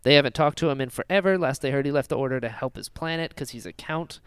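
A man's voice: mid-range voice, fast, clean tone, no room sound. A man hosting a podcast, chatting casually and enthusiastically as a fan. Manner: enthusiastic, animated. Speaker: podcast host.

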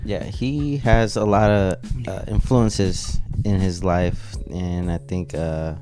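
A man's voice: deep voice, fairly even intonation, slow. A man co-hosting a music show, chatting casually and informally with plenty of slang. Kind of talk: casual chat about music.

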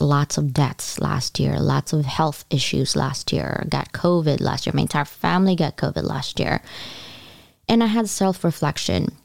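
A woman hosting a podcast, upbeat and encouraging, announcing a workshop and slowly spelling out details. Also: sits low, creaky, darkish tone, no room sound, clean studio sound. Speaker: podcast host. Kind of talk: podcast intro and promo.

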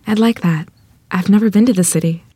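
A woman acting a gentle, sincere young rural bride from the 1920s at a screenplay table read, soft-spoken and measured. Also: medium pitch, husky, no room sound, natural voice. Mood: shy, agreeable.

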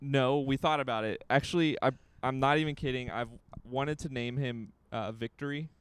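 A man's voice: mid-range voice, moderate pace, clear, resonant tone, no echo. A young man co-hosting a podcast in casual, playful, rambling chat, recorded outdoors in a courtyard. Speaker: podcast co-host.

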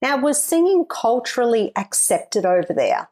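A woman's voice: on the high side, sing-song, normal speed, warm tone. A woman hosting a singing podcast, chatty and a little dramatic, talking while ill with covid. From Australia. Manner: chatty, dramatic, laughs easily. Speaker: podcast host. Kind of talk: casual interview chat.

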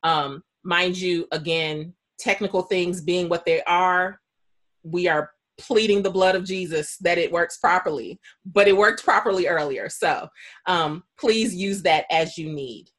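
A woman's voice: on the low side, unhurried, fairly bright tone. A woman moderating an online panel, speaking informally and chattily as she introduces the event and adds asides. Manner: chatty, housekeeping announcements with asides. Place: online video call.

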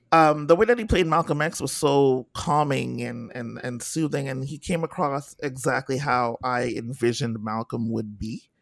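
A man co-hosting a casual film discussion, talking in an emphatic, expressive, opinionated way. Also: medium pitch, gravelly, no echo. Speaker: podcast co-host.

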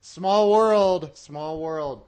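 A man hosting an interview show, talking in an excitable, casual way with lots of restarts and laughs. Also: on the high side, drawn-out words, clean tone. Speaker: podcast host.

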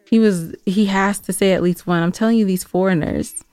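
Clear-voiced woman podcast co-host. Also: mid-range voice, steady tone, a little fast, a little mellow, no room sound, faint music behind. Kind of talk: casual banter and listener announcements.

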